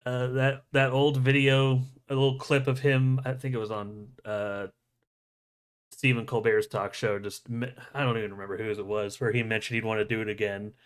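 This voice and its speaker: A man's voice: medium pitch, level tone, normal speed, clear, resonant tone. A man with a mid-range voice hosts a casual livestream about movie news. He talks informally and jokingly, and does not mind swearing. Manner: jokey, profane. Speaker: show host.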